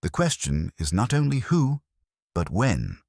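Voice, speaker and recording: A man's voice: lowish voice, wildly swooping pitch, unhurried, creaky, darkish tone, no echo, studio quality, voice pushed up, full character voice. A man voicing a stern, imperious older detective who speaks formally and pronounces judgments in an audiobook mystery. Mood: coolly probing.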